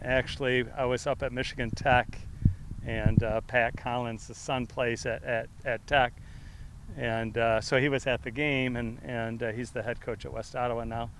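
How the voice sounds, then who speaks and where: A middle-aged football coach with a loud voice fields questions at a media session. He rambles and restarts, leaning on fillers, his pitch sitting mid-range for a man. A faint hiss runs under the recording. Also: little pitch movement, moderate pace. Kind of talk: press conference answers.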